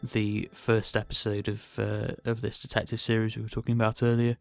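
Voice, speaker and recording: A man's voice: lowish voice, monotone, fairly quick, creaky, faint music behind. A man hosting a podcast in casual chat, with a hesitant, understated way of talking. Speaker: podcast host.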